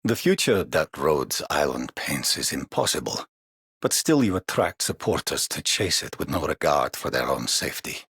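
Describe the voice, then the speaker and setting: An actor voicing a stern, jaded warrior for a game, gravelly and husky, speaking gravely and curtly. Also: male voice, very deep voice, big pitch swings, normal speed, fairly bright tone, dry recording, clean studio sound, exaggerated voice. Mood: stern, cold. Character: stern, jaded older male warrior, grudging ally. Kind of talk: scripted game character voice line.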